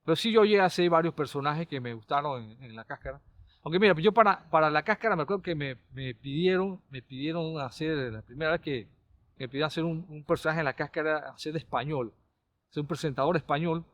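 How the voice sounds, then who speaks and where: A middle-aged Panamanian man, a caricaturist and comedy writer, recalling his TV work in a relaxed, rambling way with many restarts. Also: fairly high pitch, fast, dry recording. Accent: Panamanian Spanish. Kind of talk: relaxed conversational storytelling.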